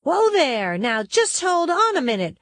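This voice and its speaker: A woman's voice: on the high side, animated, moderate pace, natural voice. A woman voicing a plucky, capable young cowgirl in a storybook, with a folksy, confident, plain-spoken manner. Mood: bold, defiant.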